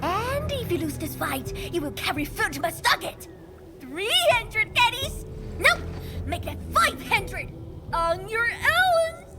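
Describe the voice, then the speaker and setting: A woman voicing a brash, boastful, playful young feline girl in a game, childlike and excitable. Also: slow pace, heavily acted. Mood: cocky, indignant. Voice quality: childlike, high. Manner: cocky, excitable, exclaiming. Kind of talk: anime game voice acting. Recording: loud game music behind.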